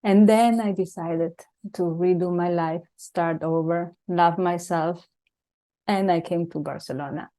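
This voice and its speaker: A woman with a mixed Venezuelan Spanish accent, Italian by first language, hosting a reflective conversation over a video call and searching gently for her words. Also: fairly low pitch, fairly even intonation, unhurried. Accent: Spanish, Venezuelan mix. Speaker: host. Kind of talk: unscripted heartfelt conversation.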